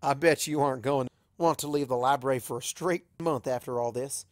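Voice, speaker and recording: A man's voice: mid-range voice, fairly quick, no echo, studio quality, lightly acted. Man as a blunt, folksy farm mare. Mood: wry. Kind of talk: cartoon fan fiction character reading.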